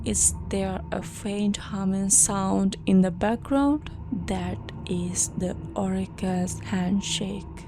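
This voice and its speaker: A woman narrator delivering a scripted first-person horror account in an ominous manner, with dramatic pauses. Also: mid-range voice, steady tone, gravelly, music in the background.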